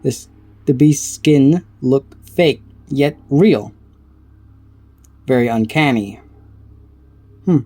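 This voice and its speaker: A man giving casual reaction commentary, reading aloud haltingly and stumbling over words between offhand remarks. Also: mid-range voice, dark tone. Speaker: reaction video creator.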